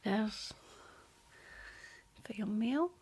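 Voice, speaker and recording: A soft-spoken woman doing an ASMR roleplay as a polite, attentive air hostess, often dropping into whispers. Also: mid-range voice, lively intonation, drawn-out words, husky.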